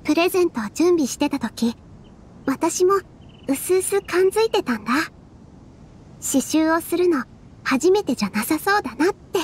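An actress voicing a gentle, earnest young dancer in a Japanese game, with a sincere, soft-hearted manner. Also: female voice, very high-pitched, expressive, moderate pace, pauses often. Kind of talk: Japanese anime game voice acting.

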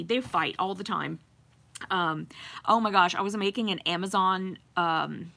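Bright-voiced chatty woman on a home livestream. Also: medium pitch, creaky. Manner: rambling, chatty, many fillers and giggles. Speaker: YouTube livestream host. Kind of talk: casual live-stream chat with viewers. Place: home craft room with fabric shelves.

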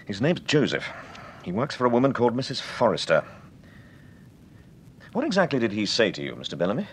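A man with an English accent playing an urbane, composed, probing gentleman detective in an old-time radio drama. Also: sing-song, a little fast, husky. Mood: measured. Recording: noisy radio recording with low rumble.

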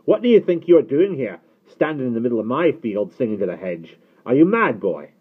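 A man's voice: medium pitch, very animated, a little fast, husky, narrow, tinny audio, voice pushed up. A man voicing a pompous, hot-tempered Welsh farmer in a storybook, scornful and barking. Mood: impatient. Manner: scornful, barking, inverted sentence order.

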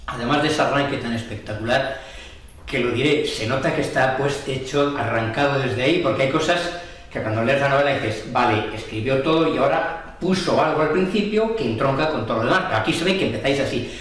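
A man in his fifties hosting a book channel, presenting and asking interview questions in a lively, upbeat way. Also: medium pitch, a little fast. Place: home room with plants. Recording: echoey room, faint background hum, heard from a distance.

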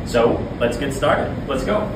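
A man in his thirties, a business development director, presenting a scripted laboratory tour in a steady, formal manner and reading technical terms evenly. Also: mid-range voice, slow, very noisy.

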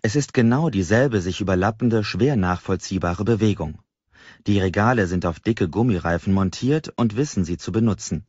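Deep-voiced male audiobook narrator. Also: moderate pace, darkish tone, slightly rough, no room sound, highs cut off. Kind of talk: scripted first-person novel narration.

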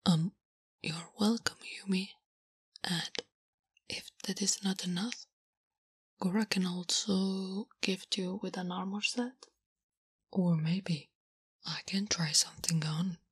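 A woman voicing a big, strong but gentle and naive orc warrior woman in an ASMR roleplay, halting and soft-spoken, half-whispering in simple broken speech. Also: lowish voice, steady tone, slightly rough, no echo, full character voice. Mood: earnest, bashful.

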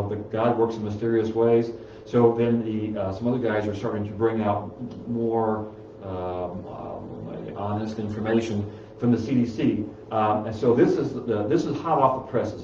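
Halting middle-aged man in a roomy office. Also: fairly low pitch, mellow, a little hiss or hum. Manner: halting, many uh fillers and restarts. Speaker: chiropractor hosting a health talk. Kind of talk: casual talk reading from printouts. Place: small office room, indoors. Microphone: far camera. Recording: roomy, distant, dull sound.

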